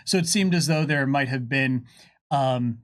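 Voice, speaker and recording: A man answering viewer questions in a casual livestream chat, with playful, animated asides. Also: medium pitch, unhurried.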